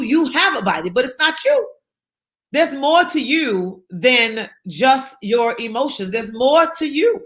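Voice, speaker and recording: A woman's voice: sits high, fairly bright tone. A woman from South Carolina, speaking as an interview guest in an emphatic, encouraging way and repeating her key phrases for effect. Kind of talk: motivational interview talk.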